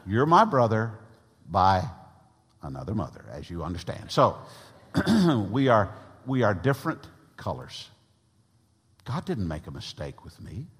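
Emphatic preacher in a reverberant church. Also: male voice, on the low side, breathy edge. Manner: emphatic preacher with a big voice, swinging between loud and soft. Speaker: pastor. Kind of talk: sermon. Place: church sanctuary. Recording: long reverb of a large church hall.

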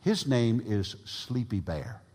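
A man preaching a sermon to his congregation, with a big voice that swings between loud and soft emphasis. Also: mid-range voice, big pitch swings, slow pace. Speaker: pastor. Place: church sanctuary. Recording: long reverb of a large church hall.

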